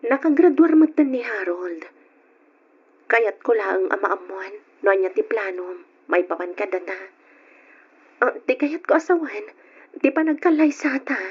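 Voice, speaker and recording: Radio drama role: affectionate young Ilocano-accented woman, high-pitched, on a dull lo-fi recording. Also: expressive, measured pace, long pauses, slightly rough. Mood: anxious, pressing. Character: affectionate young woman back from working abroad, longing to marry. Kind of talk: old-time Ilocano radio drama acting.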